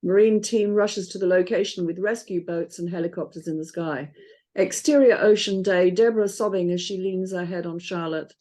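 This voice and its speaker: A woman's voice: on the low side, normal speed, warm tone. A woman reading out stage directions as scripted narration.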